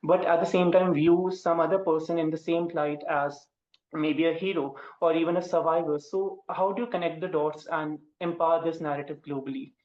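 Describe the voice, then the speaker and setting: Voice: medium pitch, steady tone, moderate pace, darkish tone. An interviewer asking formal, polite questions and addressing the guest as ma'am. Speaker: interviewer host. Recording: roomy, dull lo-fi audio.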